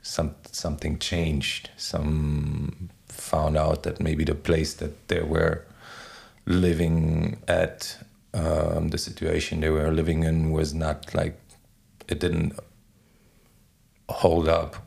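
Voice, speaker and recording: A man in his forties with a German accent, giving halting, reflective answers as an interview guest, often searching for words. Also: a bass voice, completely flat delivery, creaky, darkish tone. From Berlin, Germany. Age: 40s. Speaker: podcast guest, DJ.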